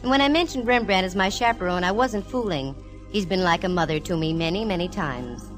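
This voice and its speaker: A woman's voice: lowish voice, a little fast, lightly acted. An actress playing a witty, self-assured female private detective in a 1940s radio drama, with wry, teasing banter. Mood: wry, confiding. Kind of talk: 1940s radio detective acting with first-person narration. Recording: vintage 1940s radio, music behind.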